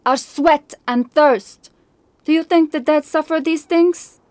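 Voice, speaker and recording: A woman's voice: sits high, slow pace, darkish tone, no echo, voice pushed up. A woman voicing a practical, sceptical, short-tempered Viking warrior woman in an audiobook. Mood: exasperated. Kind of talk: audiobook character acting.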